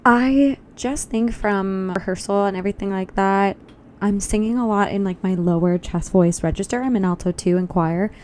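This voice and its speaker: A woman's voice: mid-range voice, moderate pace, darkish tone, slight background noise. A young woman vlogging in a casual, upbeat, chatty way, thinking aloud, her voice a little tired. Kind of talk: casual vlog chat. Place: walking outdoors on a loud city street.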